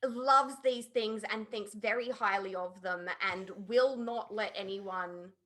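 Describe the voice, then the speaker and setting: A woman's voice: medium pitch, slow pace, bright, forceful tone. A woman chatting informally and emphatically as a podcast panelist reviewing a show. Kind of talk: casual opinionated review chat.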